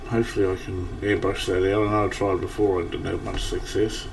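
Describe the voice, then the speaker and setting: Distant low-voiced man, slightly scratchy. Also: level tone, fairly quick, vocal fry. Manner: rambling, thinking aloud. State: early-morning, slightly scratchy voice. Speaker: gaming channel host. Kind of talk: casual gameplay commentary. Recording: distant mic, faint background noise.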